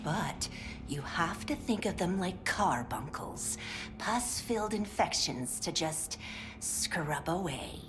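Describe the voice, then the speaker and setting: An actress playing a sugary, overly polite 1950s clinic worker who is strained and pill-addled, often slipping into whispers. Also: female voice, on the low side, slow, music in the background, poor audio. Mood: sugary encouraging. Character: chirpy 1950s woman clinic worker, fraying. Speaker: game character voice lines. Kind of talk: scripted game voice acting. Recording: steady background noise.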